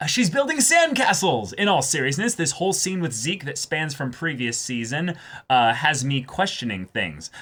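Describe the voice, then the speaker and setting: A man hosting a casual reaction show, chatting and reading viewer comments in an animated, halting way that often repeats words. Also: a little high.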